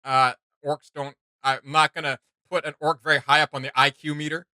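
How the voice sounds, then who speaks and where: Bright-voiced man rambling through a casual reaction. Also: on the high side, level tone, fairly quick, dry recording. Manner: rambling, thinking aloud. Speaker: reaction video host.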